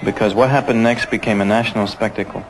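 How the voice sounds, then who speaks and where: Give me a man narrating a documentary calmly, over hiss from an old film soundtrack. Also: on the low side, quick pace.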